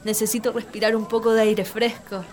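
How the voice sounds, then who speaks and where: A young woman playing a gentle, wistful daughter of a country estate in a radio play. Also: a little high, flat delivery, brisk, close to an everyday voice.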